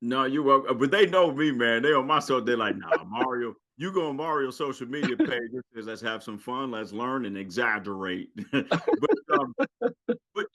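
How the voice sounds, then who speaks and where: A man hosting a podcast in a casual interview, telling stories in a lively, joking way. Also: medium pitch. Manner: lively, joking, animated storytelling. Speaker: podcast host.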